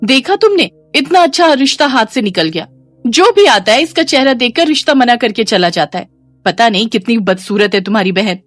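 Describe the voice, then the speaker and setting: A woman acts out a sharp-tongued young sister-in-law from a cartoon family drama. She nags and taunts in a raised, lively pitch. Music plays underneath. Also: a little fast, dry recording. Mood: scornful. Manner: taunting, nagging.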